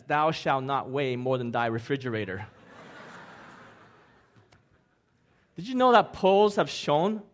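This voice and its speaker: A middle-aged pastor from Hong Kong, preaching in a light, jokey way. His voice sits a bit high for a man, and he keeps asking the room questions before each point. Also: a bit bright. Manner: jokey, upbeat, asks the crowd questions. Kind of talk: sermon with audience interaction.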